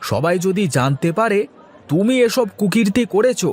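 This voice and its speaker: Village man for folktale cartoon, male actor: slightly high pitch, unhurried, theatrical, drawn-out lines. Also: expressive, darkish tone, some chatter behind. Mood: sly, conspiratorial. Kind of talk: exaggerated cartoon voice acting.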